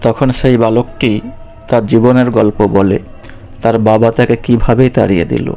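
A man's voice: medium pitch, steady tone, moderate pace, dark tone, faint music behind. A man narrating a scripted children's story as the storyteller.

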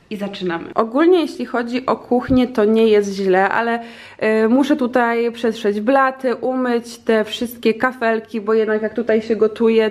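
A young woman from Poland in her twenties, chatting casually to her viewers in a clean-with-me vlog, recorded on a compact camera mic in her home kitchen. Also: a little high. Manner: chatty, addresses viewers directly, frequent fillers. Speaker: vlogger. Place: home kitchen and living room, indoors. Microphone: compact vlogging camera mic.

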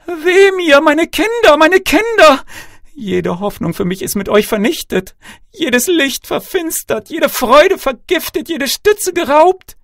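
A man's voice: very high-pitched, dry recording, studio quality, voice pushed up, exaggerated voice. A man wailing as a grief-maddened storybook queen. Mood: anguished wailing. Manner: wailing, declamatory lament. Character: grief-maddened young queen mourning her children.

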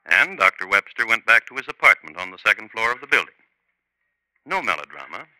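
Sharp, dry male radio crime narrator. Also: lowish voice, big pitch swings, dry recording, poor audio. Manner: dry, deliberate, clipped phrases. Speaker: host and narrator.

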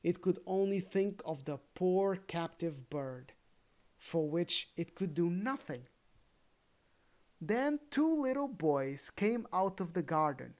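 A storybook reader telling a fairy tale in a careful, gently expressive way, with casual asides. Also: medium pitch, slow pace.

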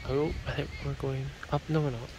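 A man's voice: medium pitch, little pitch movement, a little fast, noisy background. A young man chatting casually and upbeat as he films his travel vlog on a phone held at arm's length outdoors. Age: young adult. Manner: chatty, upbeat, rambling asides. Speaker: travel vlogger. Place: outdoors at temples and parks. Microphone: phone camera at arm's length.

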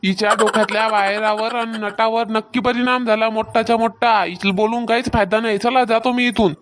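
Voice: mid-range voice, fairly even intonation, brisk, a bit bright, natural voice. An actor voicing a grumbling, sardonic husband in a cartoon comedy, speaking colloquial Varhadi Marathi. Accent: Varhadi Marathi, rural Maharashtra.

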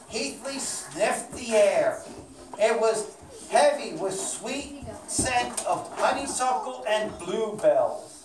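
Casting: woman reading and quizzing for kids, unhurried, recorded far off in a roomy, noisy space. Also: a little high. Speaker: children's puppet show leader and narrator. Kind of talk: reading and quizzing children aloud. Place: small indoor classroom with children. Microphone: distant camera microphone. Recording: echoey room, far mic, clear noise.

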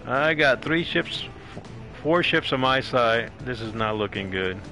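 A man chats casually through a live game stream in a forceful, creaky voice at a middling pitch. He rambles a little and repeats his phrases, with faint game music underneath. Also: expressive, a little slow, rough recording. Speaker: gaming streamer.

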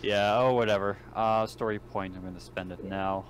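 A man's voice: lowish voice, steady tone, moderate pace, a little hiss or hum. A man playing a tabletop game, chatting casually in short, blunt, sweary remarks. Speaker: player.

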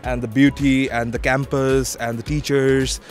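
A man's voice: medium pitch, flat delivery, measured pace, soft background music. A young man from Bangladesh answering a street interview outdoors on campus, listing his reasons one by one. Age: young adult. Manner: listing reasons, hesitant starts. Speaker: student interviewee. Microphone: handheld mic held by interviewer.

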